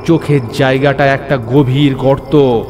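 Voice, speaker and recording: A man narrates a scripted first-person horror story in a measured, descriptive storytelling manner. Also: medium pitch, steady tone. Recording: music under the voice.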